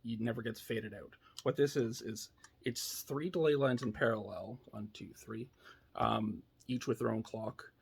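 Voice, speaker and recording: A man giving a casual, unscripted technical demo in a laid-back, hesitant way, thinking aloud, with a husky, slightly gravelly voice. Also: medium pitch, steady tone, unhurried. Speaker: electronics builder demonstrating a device.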